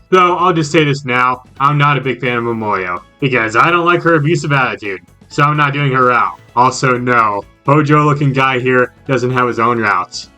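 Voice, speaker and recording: A man's voice: a little high, sharp tone. A man narrating a scripted video game review as host, with jokey asides. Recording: music under the voice. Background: background music.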